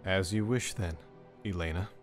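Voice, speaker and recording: An actor playing a brooding, reserved man in an audio drama, speaking in a measured, formal, terse way. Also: sits low, faint music behind, close to an everyday voice. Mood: softened, formal.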